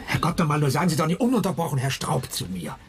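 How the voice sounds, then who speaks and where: An actor playing an awkward, agitated, suspicious insurance clerk in a radio drama, halting and fussily formal in his speech. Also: on the high side, sing-song. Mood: impatient, probing. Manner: hesitant, stammering, fussily formal.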